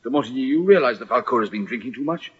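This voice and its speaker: A man's voice: medium pitch, lively intonation, rapid-fire, fairly bright tone, breathy edge. A man acting a sensible, authoritative army major in an old-time radio drama, with a commanding manner. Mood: concerned, stern. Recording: dull, band-limited old radio recording.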